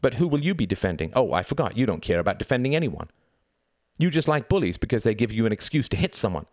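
A man's voice: sits low, lively intonation, moderate pace, a little mellow, no echo, studio quality. A man narrating a story, voicing an indignant older-brother boy who pleads and scolds emphatically. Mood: sneering. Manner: emphatic, exclaiming, reproachful.

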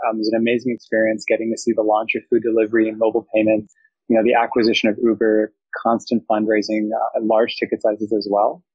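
A man's voice: fairly low pitch, monotone, fast talker, slightly rough, dry recording, muffled recording. A young man from Thailand, a startup founder, giving fluent, reflective interview answers full of business terms.

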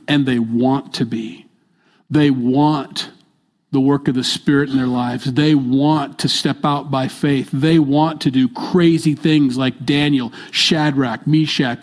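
An older man preaching a midweek sermon in a church, stressing his points by repeating them and moving between loud and soft delivery. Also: mid-range voice. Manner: emphatic, repeats key phrases, swings between loud and soft. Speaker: pastor.

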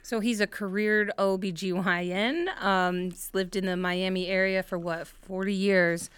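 A woman's voice: medium pitch, fairly even intonation, unhurried, fairly bright tone, clear, resonant tone, no echo. A young adult woman co-hosting a casual interview podcast, talking about her guest with enthusiasm and admiration. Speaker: podcast co-host, medical student.

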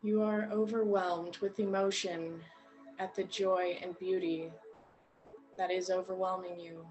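A woman guiding a meditation in a gentle, unhurried way, leaving long pauses between short phrases. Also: on the low side, flat delivery, soft background music, poor audio. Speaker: meditation guide. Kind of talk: guided meditation narration.

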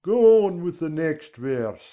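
A man playing a brusque, impatient Gryphon with a bossy, drawn-out delivery in an audiobook. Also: fairly high pitch, big pitch swings, phone-quality sound. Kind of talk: full-cast audiobook character acting.

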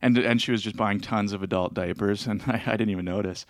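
A man's voice: on the low side, steady tone, fast, dry recording. A man co-hosting a casual podcast, laid-back and rambling, with lots of filler words and frequent laughs. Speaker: podcast co-host. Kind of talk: casual chat.

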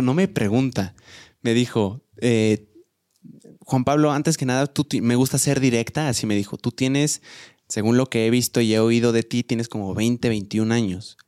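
A man in his twenties with a Mexican accent talks like a podcast co-host among friends. His delivery is colloquial and full of slang, at a middle pitch. The sound is dry and close. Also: a little mellow, no echo. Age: 20s. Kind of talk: casual chat, anecdote telling.

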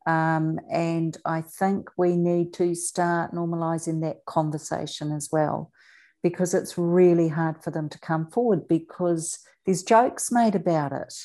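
Low-voiced New Zealand woman, deliberate and emphatic. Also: fairly even intonation, mellow. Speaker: interview guest, author and abuse survivor advocate. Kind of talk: earnest conversational interview.

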